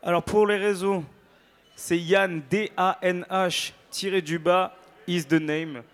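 Fairly high-voiced male singer, playful and informal. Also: fairly even intonation, slow. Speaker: singer, interview guest. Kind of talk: casual on-stage interview chat.

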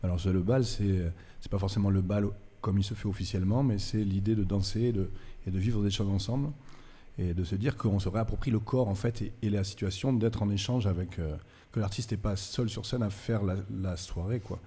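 A man from France with a fairly low, mellow voice talks about his life and music as a radio guest. He speaks reflectively, pausing with fillers as he searches for words. A long hall reverb trails his voice. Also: breathy edge. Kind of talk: reflective interview storytelling. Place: cultural centre venue.

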